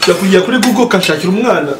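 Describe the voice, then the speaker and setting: A young man with a high voice chats casually with friends, rattling along very fast. The room is echoey, with music and clinking cutlery behind him. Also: animated, background noise. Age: young adult. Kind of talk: casual chat among friends. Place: indoors at a home dining table.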